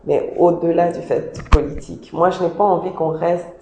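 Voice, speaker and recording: A woman's voice: fairly low pitch, steady tone, moderate pace, creaky, noisy background. A woman giving earnest, emphatic interview answers as the founder of a job agency, often repeating her points. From DR Congo. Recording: echoey room.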